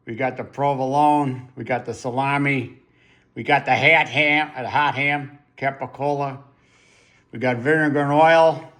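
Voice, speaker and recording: A man's voice: medium pitch, steady tone, unhurried, fairly bright tone. A man hosting a casual chat to camera in a plain-spoken, opinionated way. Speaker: channel host.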